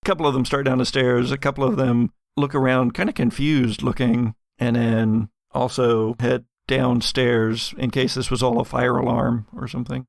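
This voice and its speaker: A man's voice: medium pitch, little pitch movement, normal speed, creaky, hardly any pauses, no echo. A man working as game master, improvising narration for a tabletop story.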